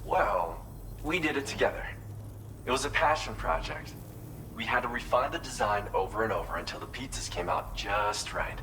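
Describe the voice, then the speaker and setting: A man's voice: on the low side, animated, normal speed, sharp tone, rough recording. An actor playing a wistful, proud architect in a video game, speaking earnestly and reflectively as he explains his craft. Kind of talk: video game cutscene dialogue. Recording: clear background noise under the voice.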